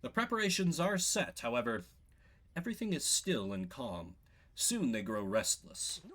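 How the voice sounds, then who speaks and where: A man streaming a video game, switching between casual commentary and expressive reading of the story text, playful in manner. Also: medium pitch, moderate pace. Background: game music.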